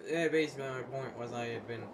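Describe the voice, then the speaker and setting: A man's voice: mid-range voice, fast talker. A man giving casual, opinionated gaming commentary, sometimes hesitating mid-thought as he makes his point. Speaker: host of a let's play series. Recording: clear background noise.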